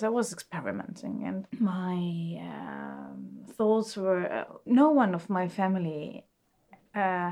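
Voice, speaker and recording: Halting Austrian-born woman shoemaker. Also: on the low side. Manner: halting, searching for words, many fillers. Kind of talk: interview answers.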